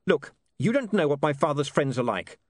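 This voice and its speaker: Man playing an earnest, bitter young gentleman, higher pitched. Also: moderate pace, no room sound, studio quality. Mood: agitated, bitter. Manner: flat, clipped, emphatic.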